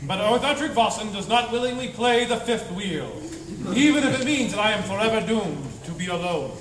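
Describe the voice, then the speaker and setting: A man's voice: sits high, lively intonation, a little fast, crowd noise. A man playing a noble, melodramatic, self-pitying adventurer in live comic radio theatre, grandiose and declamatory. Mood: melodramatic, forlorn. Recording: echoey noisy hall, far from mic.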